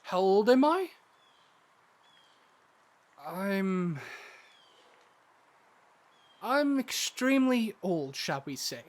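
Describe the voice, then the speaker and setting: An ancient villain in a fantasy audio drama. He is grandiose and mocking, speaking slowly in a high-pitched voice that swings widely as he toys with riddles. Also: big pitch swings, full character voice. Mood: sly, amused. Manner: drawn-out, theatrical delivery.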